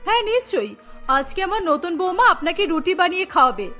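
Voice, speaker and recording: Woman playing a high-pitched fussing cartoon mother-in-law. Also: big pitch swings, quick pace, sharp tone, faint music behind, exaggerated voice. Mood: hospitable. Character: expectant, sharp-tongued middle-aged mother-in-law. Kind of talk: Bengali cartoon dialogue.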